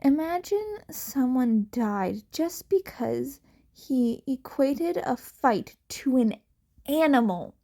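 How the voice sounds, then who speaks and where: A woman reading fiction aloud as an expressive amateur story narrator. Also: on the high side, vocal fry. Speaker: amateur audio fiction reader.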